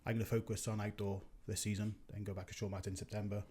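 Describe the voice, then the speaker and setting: A man's voice: on the low side, flat delivery, darkish tone, slightly rough. A Welsh man from Mid Wales chatting plainly as an interview guest, with a joking streak. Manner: brisk, plain-spoken, jokey. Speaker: guest bowls player. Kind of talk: casual interview chat.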